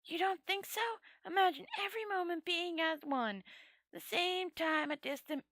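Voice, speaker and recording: A woman acts out a long-dead old wizard from a fantasy storybook. The voice is high and close, with a dry sound. He rambles proudly, as if death were an ordinary matter. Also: moderate pace, a bit bright, dry recording. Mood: rambling, musing. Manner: rambling, matter-of-fact, conversational.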